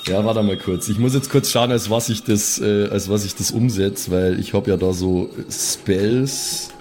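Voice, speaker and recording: A man's voice: fairly low pitch, a little slow, a little mellow, soft background music. A man talking casually as a player in a tabletop roleplaying game.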